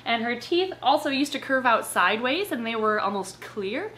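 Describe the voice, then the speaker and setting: Bright, high-pitched young woman. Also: moderate pace. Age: young adult. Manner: expressive, playful. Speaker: host of a reptile channel. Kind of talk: casual chatty presenting with read-aloud passages. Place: living room at home, on a couch. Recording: clear background room noise.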